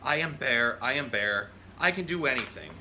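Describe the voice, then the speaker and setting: A man voicing a huge, boastful, stubborn bear from a children's storybook, bragging in loud, emphatic declarations. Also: mid-range voice, lively intonation, normal speed, noisy background, natural voice.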